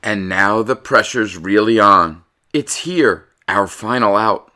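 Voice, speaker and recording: A man giving an expressive, dramatic read-aloud in the manner of a children's storyteller. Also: lowish voice, slow pace. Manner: animated, dramatic delivery.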